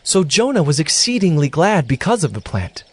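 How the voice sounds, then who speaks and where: A man's voice: fairly high pitch, big pitch swings, moderate pace, slightly rough, no echo. A man narrating scripture from a script in a dramatic storytelling style.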